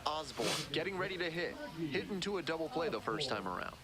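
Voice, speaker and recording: A man's voice: on the high side, quick pace, over a noisy crowd, lightly acted. A man voicing a male baseball play-by-play announcer in polished broadcast commentary. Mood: steady play-by-play. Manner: polished, lively announcer delivery. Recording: loud background game noise.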